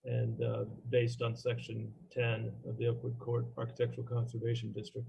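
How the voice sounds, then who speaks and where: A man chairing a formal meeting as its chairman, speaking in a halting, procedural way. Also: mid-range voice, monotone, gravelly, dark tone, breathy edge, highs cut off. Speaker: commission chairman. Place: remote meeting call.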